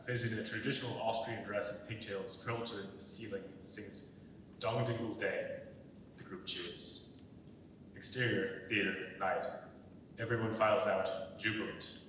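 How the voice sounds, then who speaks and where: A man's voice: steady tone, unhurried, fairly bright tone. A young adult man reading stage directions as scripted narration for a dramatic reading. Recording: very echoey, distant, rumbling background noise.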